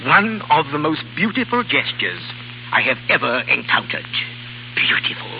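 An actor playing a doting, tipsy older baron who stammers, repeats himself and rambles in 1930s radio drama style. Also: male voice, a little high, big pitch swings, moderate pace, gravelly. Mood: sentimental, tipsy. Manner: stammering, repetitive, chuckling. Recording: dull, hissy 1930s radio recording.

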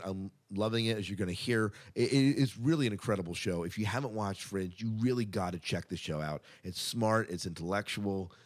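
Male podcast co-host, low voice, casual news commentary, conversational with emphatic asides.

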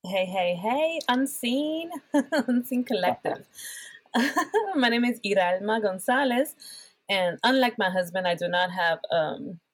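A middle-aged woman from Puerto Rico, a worship pastor and podcast guest, chatting warmly and laughing often. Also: medium pitch, a little slow, dry recording. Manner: warm, laughs often, animated. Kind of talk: casual chat.

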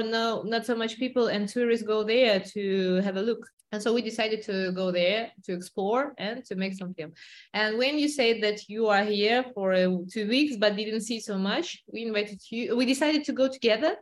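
A young adult woman from Kazakhstan with a Kazakh accent, telling a story in English, pausing often with "uh" as she searches for words. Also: fairly high pitch. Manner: many "uh" fillers, searching for words. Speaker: interpreter and lawyer.

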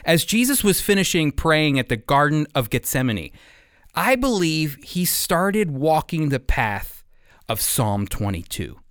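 American male radio Bible teacher, slightly high register, lively intonation, earnest scripted delivery. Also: measured pace, clean tone, dry recording, studio quality.

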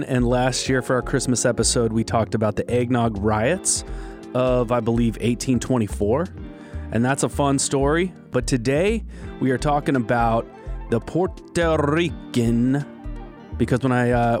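A man's voice: mid-range voice, darkish tone. A man hosting a podcast, chatting in an upbeat, casual way as he introduces the episode and his guest. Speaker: podcast host.

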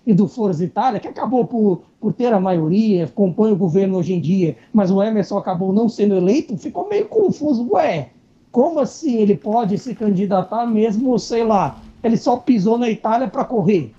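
A woman's voice: mid-range voice, lively intonation, moderate pace, mellow. A woman with a Brazilian accent chatting casually as a podcast panelist. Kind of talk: casual chat. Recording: dull, muffled lo-fi audio.

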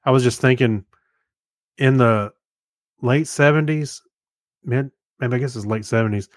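A man's voice: medium pitch, measured pace, no room sound, studio quality. A man in his fifties co-hosting a podcast, chatting and reminiscing in an informal way. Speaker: podcast co-host. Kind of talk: casual chat, reminiscing.

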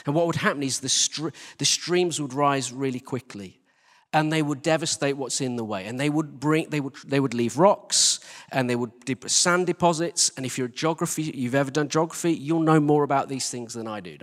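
A man's voice: mid-range voice, fairly bright tone. A man preaching a conversational sermon, emphatic, asking rhetorical questions and repeating phrases for stress. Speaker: church team leader preaching. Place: large reverberant indoor church space. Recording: long-reverb church hall sound.

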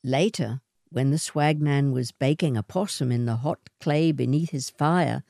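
Slow, low-voiced woman storyteller. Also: vocal fry, dark tone, no echo, clean studio sound. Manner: deliberate, theatrical delivery. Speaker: short story reader. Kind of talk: dramatic scripted storytelling.

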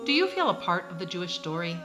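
A woman co-host reading the scripted opening of a podcast in an upbeat, clear way. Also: on the low side, big pitch swings, quick pace. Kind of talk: scripted show intro. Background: music.

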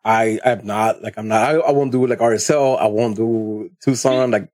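A man's voice: lowish voice, fairly even intonation, moderate pace, darkish tone. Stammering man hosting a casual podcast. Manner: stammering, joking, profane. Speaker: podcast host. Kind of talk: casual chat.

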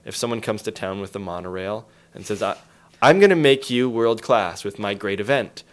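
A man's voice: fairly low pitch, normal speed. A man speaking as an interview guest, explaining things in an emphatic, storytelling way with acted-out quotes.

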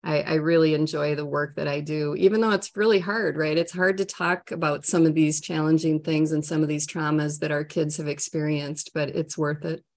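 Low-pitched Minnesotan woman, candid and talkative. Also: steady tone, moderate pace, few pauses, a little mellow. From Minnesota, United States. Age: middle-aged. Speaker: trainer and coach for families, interview guest. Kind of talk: conversational interview answers.

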